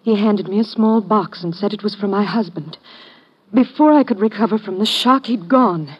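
A woman voices a worried married woman, hesitant and pleading as she explains herself. Her voice is a little breathy, and the sound is dull old radio. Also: medium pitch, moderate pace, natural voice. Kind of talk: 1950s radio drama acting.

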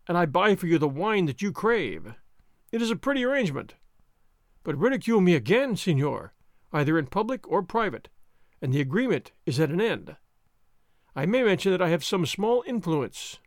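A man's voice: high-pitched, sing-song, moderate pace, no room sound, clean studio sound. A man voicing a proud young nobleman with a thin voice, polite but cool and haughty.

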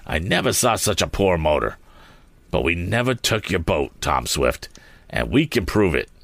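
A man's voice: deep voice, big pitch swings, normal speed, creaky, fairly bright tone, no room sound, full character voice. A man voicing a teenage bully in a storybook who earnestly and defensively protests his innocence. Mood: earnest, explaining. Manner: defensive, earnest, plain-spoken.

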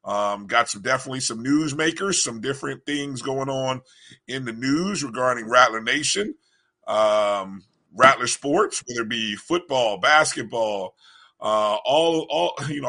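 A man hosting a casual online talk show, his voice froggy and hoarse from coaching, who often repeats words as he starts a thought. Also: medium pitch, measured pace, pushed and bright. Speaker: podcast host. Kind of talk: casual chat.

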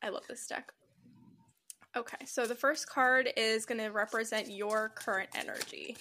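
A woman's voice: fairly high pitch, fairly even intonation, moderate pace, bright, forceful tone, a little hiss or hum. A woman co-hosting a casual podcast and reading tarot, with a congested, nasal voice from being sick. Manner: chatty, self-deprecating, laughs often. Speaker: podcast co-host.

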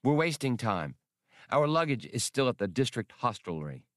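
A man's voice: medium pitch, big pitch swings, moderate pace, a bit bright, no room sound, clean studio sound, pitched above the performer's own voice. A man voicing a bitter, impatient woman in an audiobook.

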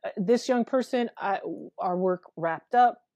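A woman therapist with a deep voice, talking frankly as an interview guest, often restarting her sentences. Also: measured pace, mellow, no echo. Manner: frank, plain-spoken, with many restarts and fillers. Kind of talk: candid interview talk.

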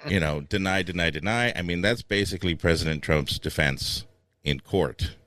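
A man's voice: deep voice, moderate pace, pushed and bright. A man hosting a podcast in casual comic chat, joking and tossing to segments. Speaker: podcast host.

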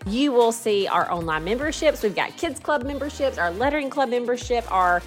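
Woman, podcast host, higher voice, faint music behind. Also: lively intonation. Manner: chatty, spells out web addresses slowly. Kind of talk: casual podcast chat.

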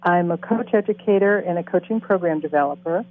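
A woman's voice: lowish voice, brisk, dry recording. Older woman on a tinny phone line. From Boston, USA. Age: 60s. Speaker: coach educator. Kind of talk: panel self-introduction.

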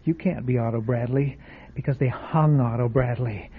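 A man's voice: mid-range voice, moderate pace, slight background noise, close to an everyday voice. An actor playing a frightened male motel guest in an old-time radio drama, stammering and panicky. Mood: scared. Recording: tinny lo-fi radio broadcast.

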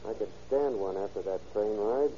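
A man's voice: fairly low pitch, normal speed, dark tone, close to an everyday voice. An actor in an old radio crime drama playing a keen, daring policeman who disguises himself as a tramp. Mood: wry, cautious. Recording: dull, hissy old radio recording.

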